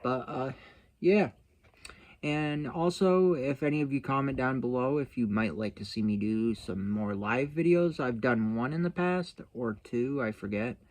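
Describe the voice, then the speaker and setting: Rambling, folksy male vlogger, medium pitch. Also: a little slow, darkish tone. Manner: rambling, self-deprecating, folksy. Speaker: YouTube channel host. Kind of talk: casual vlog chat to viewers.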